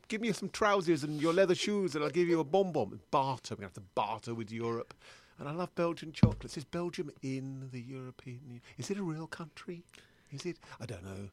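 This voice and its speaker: A man playing an anxious middle-aged British sweet-shop customer in improvised comedy, rambling, hesitant and stammering as he doubts himself. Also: on the high side. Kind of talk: improvised comedy monologue and sketch.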